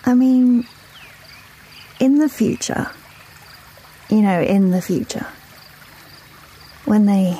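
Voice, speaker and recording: A woman's voice: medium pitch, slow, long pauses, mellow, husky. A woman playing an earnest, reflective bird in an audio drama, speaking hesitantly and circling back over phrases. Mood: wistful, searching.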